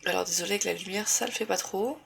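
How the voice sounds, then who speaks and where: A woman's voice: mid-range voice, quick pace, vocal fry, a bit bright, faint music behind. A woman with a cold and a sore throat, chatting casually about books in a vlog, rambling with lots of fillers and restarts. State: congested with a cold. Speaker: booktuber. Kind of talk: casual book review vlog.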